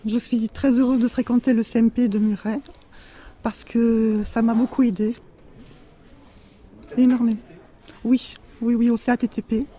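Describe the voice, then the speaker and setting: A woman in her fifties giving a personal account in a group radio discussion, in simple, halting phrases. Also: a little high, level tone, warm tone. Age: 50s. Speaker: participant, mental health centre patient.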